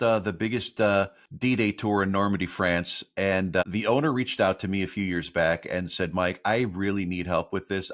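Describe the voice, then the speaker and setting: A man's voice: lowish voice, a bit bright. A middle-aged man, a voiceover artist, talking earnestly about his work as an interview guest. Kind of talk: casual interview talk.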